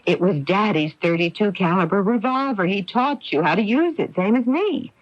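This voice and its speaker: Actress doing cool middle aged sister in old radio mystery, crisp n probing, very expressive pitch, muffled tape. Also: female voice, moderate pace, close to an everyday voice. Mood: brisk, probing. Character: Clara, cool, brisk, self-possessed middle-aged sister. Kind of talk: radio mystery drama acting.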